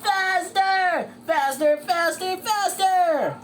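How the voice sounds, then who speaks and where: A woman voicing an excitable, pushy male cartoon sponge in a storybook reading, high and loud with dramatic urgency. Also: unhurried, noisy background. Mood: bossy, frantic. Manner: shouting, pleading, wailing dramatically.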